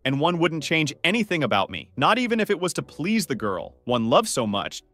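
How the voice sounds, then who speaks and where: A man's voice: on the high side, sing-song, a little fast, a bit bright, faint music behind. A man voicing a defensive, lovesick young male chicken, emphatic and dramatic, in an amateur comic dub. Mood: hurt, defiant.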